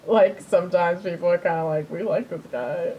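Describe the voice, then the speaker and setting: A woman's voice: lowish voice, moderate pace, muffled recording, a little hiss or hum. A woman co-hosting a podcast in casual chat, rambling and repeating herself as she tells things. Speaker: podcast co-host.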